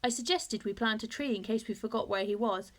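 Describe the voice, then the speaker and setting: A woman narrates a children's story with a fairly high voice. She reads like a teacher, measured and expressive, telling it in the first person. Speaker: teacher reading aloud.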